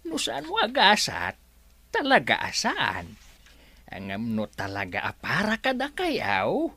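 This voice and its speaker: A woman playing a loyal assistant in an Ilocano radio drama who consoles her boss. She speaks very slowly in a low voice that swoops wildly in pitch, recorded close and dry. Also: huge pitch swings, bright, forceful tone, dry recording, heavily acted. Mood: consoling.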